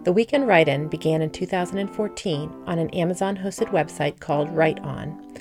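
A woman hosting a podcast, reading scripted introductions and interview questions. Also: low voice.

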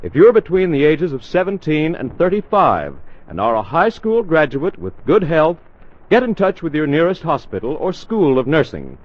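A dull, lo-fi 1940s radio recording carries an American male announcer. His voice sits fairly high and swings expressively as he reads formal, carefully enunciated copy in period broadcast style. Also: big pitch swings, moderate pace.

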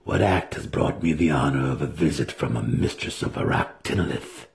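A man voicing a nervous, deferential male dark elf wizard in a fantasy audiobook, speaking cautiously and hesitantly. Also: extremely low pitch, moderate pace, gravelly, pitched below the performer's own voice.

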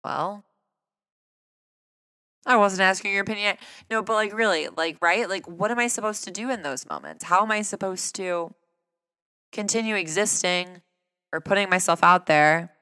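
A man speaking earnestly and conversationally in a personal reflective talk, his voice fairly high for a man, often asking rhetorical questions. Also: normal speed, long pauses, pushed and bright, clear, resonant tone, dry recording, clean studio sound, big-room sound. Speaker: vlogger.

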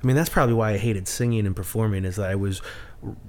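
A man co-hosting a podcast in casual chat, rambling and self-deprecating with halting restarts. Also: fairly low pitch, a little mellow, slight background noise. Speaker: podcast co-host.

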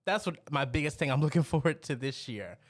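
A man's voice: on the high side, expressive, fairly quick. A young man hosting a podcast interview, upbeat and casual, who often says "like". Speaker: podcast host.

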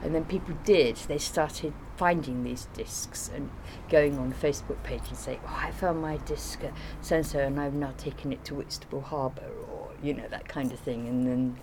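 A woman's voice: a bass voice, moderate pace, hardly any pauses, noisy background. A woman in informal conversation, explaining and recollecting in a rambling way. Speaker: artist being interviewed.

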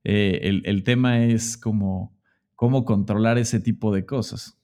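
A man interviewing a guest in a casual chat, reflective and hesitant, pausing to search for words. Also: on the low side, fairly even intonation, mellow. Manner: hesitant, with many fillers and restarts, reflective.

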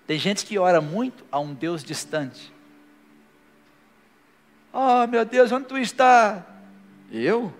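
A man's voice: sits high, expressive, slow, long pauses. A male pastor preaching a sermon to a live congregation and leading a prayer, his delivery rising to fervent declarations. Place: church hall, live before a congregation. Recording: long hall reverb with faint music.

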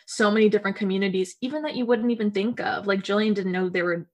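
A woman's voice: mid-range voice, fast. A young woman hosting a podcast, speaking in a thoughtful, reflective way with fillers. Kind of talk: casual conversation.

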